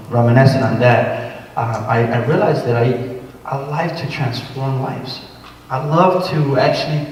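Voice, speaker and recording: A man's voice: medium pitch, darkish tone. A man from Puerto Rico, a guest speaker on workforce development, giving an earnest, personal talk to a training group. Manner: personal, earnest, walks around while talking. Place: large echoey meeting room. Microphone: room mic from a distance. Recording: echoey hall, distant mic, faint noise.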